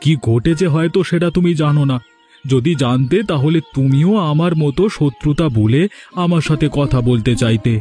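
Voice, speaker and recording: A man's voice: fairly high pitch, moderate pace, mellow, close to an everyday voice. A man voicing a puzzled village fish farmer in a cartoon, earnest and exclaiming. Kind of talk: dubbed cartoon dialogue. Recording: music in the background.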